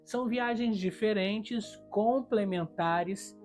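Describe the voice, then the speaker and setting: A woman's voice: mid-range voice, takes it slowly, a little mellow. A Brazilian woman reading a scripted literary review in a solemn, reflective and rhetorical manner. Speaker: book reviewer. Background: guitar music.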